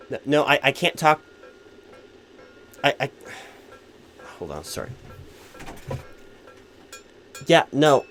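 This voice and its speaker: A man's voice: medium pitch, close to an everyday voice. A man playing a distracted, flustered corporate hiring manager in a comedic roleplay, speaking in wordy, stammering office formality. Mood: flustered, exasperated. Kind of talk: comedic one-sided ASMR-style roleplay, spoken aloud.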